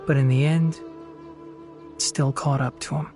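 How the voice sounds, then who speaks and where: An actor voices a principled young ranger in a video game. His mid-range voice is polite and earnest, each line thoughtful and sincere. Game music plays clearly behind him. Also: mid-range voice, steady tone, unhurried, natural voice.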